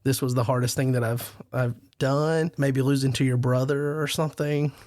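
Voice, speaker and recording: A man's voice: medium pitch, fairly even intonation, normal speed, darkish tone, dry recording. A man hosting a casual podcast roundtable, telling stories in a rambling, self-deprecating way. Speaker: podcast host.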